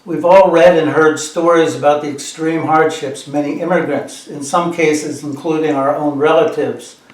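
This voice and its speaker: A man's voice: fairly high pitch, steady tone, normal speed. A man giving a prepared introductory speech as a presenter, recorded at a distance in an echoey space.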